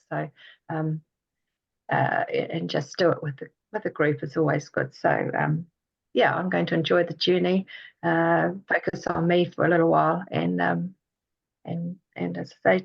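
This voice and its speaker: Hesitant New woman, low and gravelly, lo-fi call. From New Zealand. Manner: rambling, hesitant with many fillers. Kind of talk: casual video call.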